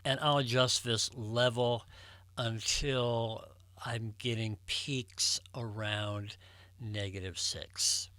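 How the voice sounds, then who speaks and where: A man giving a casual step-by-step tutorial, explaining things in a measured way with pauses. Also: mid-range voice, fairly even intonation, pushed and bright, a little hiss or hum. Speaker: host of a record collecting tutorial channel.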